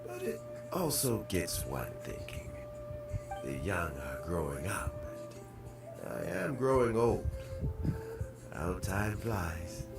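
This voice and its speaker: An actor playing a kindly older man in a video game, who talks in a reflective, unhurried way about fishing and aging. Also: low voice, wildly swooping pitch, heavily acted. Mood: wistful. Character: gentle aging male official, fond of fishing. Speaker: game character. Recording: roomy distant game audio with loud music. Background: game music.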